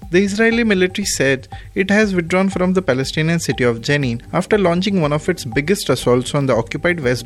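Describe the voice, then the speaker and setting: A man with an Indian accent reading a scripted news bulletin as its presenter. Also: mid-range voice. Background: music.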